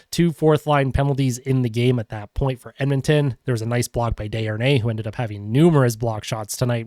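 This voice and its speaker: A man with a congested cold, hosting a podcast and running quickly through a post-game hockey recap with frequent fillers. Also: medium pitch, dry recording, clean studio sound. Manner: quick play-by-play rundown with fillers. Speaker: podcast host.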